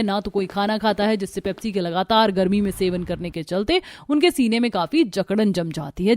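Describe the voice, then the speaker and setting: A woman's voice: medium pitch, darkish tone, no room sound, studio quality. A woman narrating a scripted cartoon family story.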